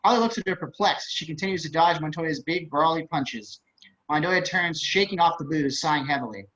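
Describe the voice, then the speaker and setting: A man's voice: a little high, lively intonation, a little fast, sharp tone. A man reading out stage directions as narrator of a script table read over a video call. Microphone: computer on a video call. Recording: dull, lo-fi video-call audio.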